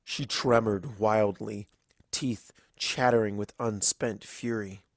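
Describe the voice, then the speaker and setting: A male narrator with a fairly low voice reads a dramatic story. He goes slowly and gravely, pausing for weight, in a dry recording with little room sound. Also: steady tone, dry recording. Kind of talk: dramatic scripted fiction narration.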